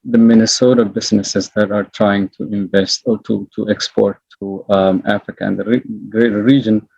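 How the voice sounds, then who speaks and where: A man on a webinar panel asks a follow-up question, hesitating often and filling the gaps. His voice is gravelly and a little low in pitch. The call audio sounds dull and muffled, and he seems to be set back from the mic. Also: flat delivery, moderate pace, darkish tone, no room sound. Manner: hesitant, with many fillers.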